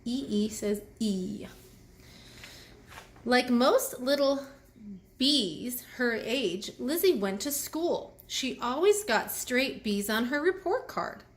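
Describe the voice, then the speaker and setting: A woman reading a picture book aloud to children, pausing to explain letter sounds and ask the young listeners questions. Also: fairly high pitch, expressive. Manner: addresses young children directly with questions and commentary. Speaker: children's read-aloud host and teacher. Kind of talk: picture book read aloud with phonics asides.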